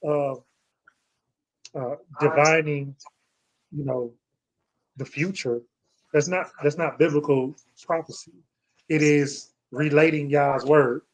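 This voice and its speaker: A man's voice: on the high side, slow pace, pauses often, poor audio. An American man hosting a scripture study, talking reflectively and often searching for his words. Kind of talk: reflective Bible discussion.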